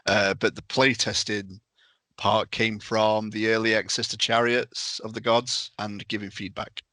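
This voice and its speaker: A man's voice: fairly low pitch, little pitch movement, unhurried, sharp tone, no echo. A man talking casually in a podcast discussion.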